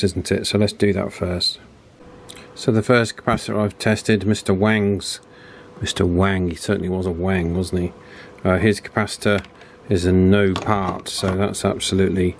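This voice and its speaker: A man with a fairly low voice hosts a hands-on repair video. He talks casually and without a script as he works, chatting to the viewer and often tacking little tag questions onto his remarks. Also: a little hiss or hum. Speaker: host of an electronics repair channel. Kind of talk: casual hands-on repair commentary, talking while working.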